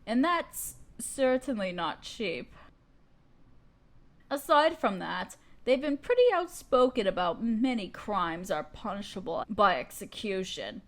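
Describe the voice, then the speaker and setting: A woman playing a spoiled, haughty evil queen in a scripted audio roleplay, complaining pompously and theatrically to her servant. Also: a little high. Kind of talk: scripted roleplay monologue to the listener.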